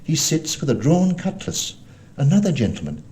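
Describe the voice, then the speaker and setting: A man's voice: fairly high pitch, sing-song, moderate pace, warm tone, slight background noise, pitched above the performer's own voice. A man voicing a frightened young boy from a storybook, polite and pleading. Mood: nervous, pleading.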